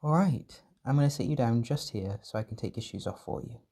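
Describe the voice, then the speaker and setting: A man performing a gentle, doting caretaker in an intimate comfort roleplay, speaking softly and reassuringly with tender pet names. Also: medium pitch, fairly quick, breathy edge. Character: gentle, doting male caretaker soothing an overwhelmed partner.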